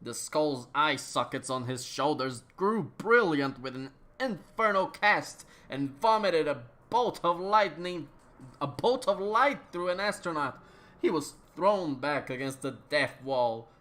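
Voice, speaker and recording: Slow, lively theatrical story reader. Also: medium pitch. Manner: theatrical, stumbles and rereads phrases. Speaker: reader of a fan fiction. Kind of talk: mock-dramatic comedic story reading.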